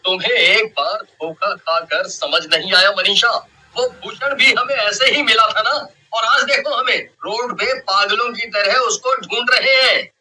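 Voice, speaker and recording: A man voicing a worried, grumbling young husband in an animated horror story. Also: high-pitched, unhurried, full character voice, rough recording. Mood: irritated. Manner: fretful, complaining.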